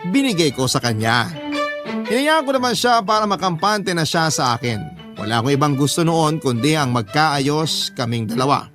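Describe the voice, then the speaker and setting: A man with a Filipino accent narrating a scripted love story dramatically as a radio host. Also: fairly high pitch. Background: violin music.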